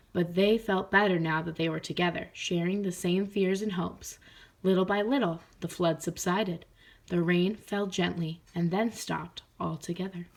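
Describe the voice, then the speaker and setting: A woman speaking as a teacher in an expressive read-aloud style meant for children. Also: on the low side, poor audio. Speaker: teacher reading aloud to pupils.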